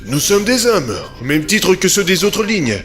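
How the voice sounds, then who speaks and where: High-pitched, composed male inventor played by an actor. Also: sing-song, a little slow, a bit bright, soft background music, full character voice. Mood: measured, assured. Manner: composed, didactic, formal. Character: calm male inventor presenting his time machine. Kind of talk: audio drama, conference speech.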